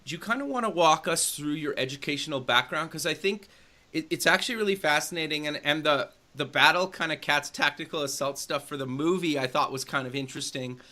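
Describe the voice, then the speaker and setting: A man's voice: on the high side, fairly quick, sharp tone. A man hosting a radio and TV interview show, reading a scripted intro and then asking questions in an enthusiastic, hedging way. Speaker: host.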